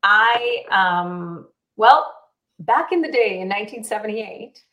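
A woman's voice: mid-range voice, expressive, takes it slowly, pushed and bright, lo-fi sound. A woman in her forties from Israel with a light South African accent, chatting easily as a realtor being interviewed, laughing often and using many fillers. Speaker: realtor and guest. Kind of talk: casual interview answers.

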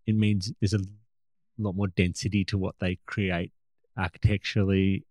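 Measured low-voiced male interviewer. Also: flat delivery, a little mellow, no room sound. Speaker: interviewer, host. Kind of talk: interview questions and comments.

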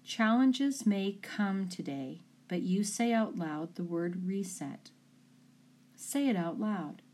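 A woman coach narrating a scripted guided imagery session, giving calm, soothing instructions in short, deliberate phrases. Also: mid-range voice. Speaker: sports mind coach leading guided imagery.

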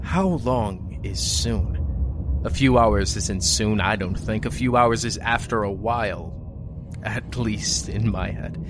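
A man playing a lonely, weary survivor broadcasting after an apocalypse in an audio drama, musing in a halting, understated, resigned way. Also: mid-range voice, noisy background, lightly acted. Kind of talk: audio fiction monologue. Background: music.

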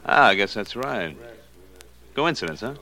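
Brash, slangy radio-drama loan shark. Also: male voice, lowish voice, expressive, a little slow, sharp tone, full character voice. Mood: cagey, cocky. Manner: streetwise ungrammatical slang. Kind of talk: 1940s radio detective drama acting. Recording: old radio recording with faint noise.

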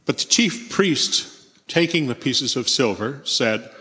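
A man's voice: mid-range voice, expressive, a little slow, pushed and bright, a little hiss or hum. A man reading a Bible narrative aloud as the narrator at church. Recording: long church reverb.

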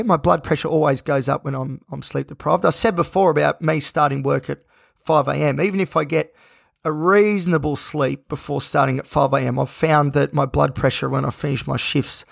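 A sleep-deprived man with a croaky voice, talking in a rambling, self-reflective personal monologue as host of his own show. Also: a little high, no room sound, clean studio sound. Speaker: podcast host.

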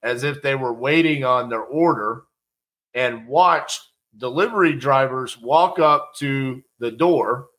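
A middle-aged man telling a personal story in a casual, conversational way and acting out the quotes. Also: mid-range voice. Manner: conversational, animated, acts out quotes.

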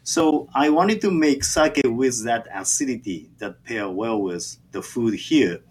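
A man with a Japanese accent, a native Japanese speaker, answering interview questions haltingly with many fillers and restarts. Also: mid-range voice, lively intonation, a little mellow, a little hiss or hum. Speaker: sake brewer.

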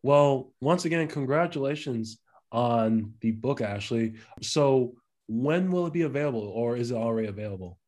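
A male host introduces the next segment in a friendly, formal way. His voice is mellow and relaxed. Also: medium pitch, normal speed. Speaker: show host. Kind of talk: hosting an episode.

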